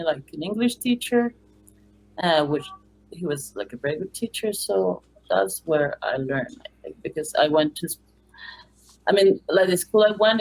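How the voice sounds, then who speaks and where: Mexican accent lady filmmaker, spanish first language, kinda low voice, earnest, lots of "like". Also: rough recording. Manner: earnest, halting, many "like" fillers. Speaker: documentary filmmaker and teacher. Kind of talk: remote interview.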